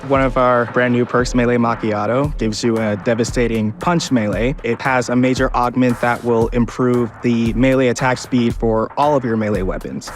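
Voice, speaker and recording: A man's voice: medium pitch, steady tone, moderate pace, few pauses. A man speaking as a game developer, explaining gameplay features in a presentation.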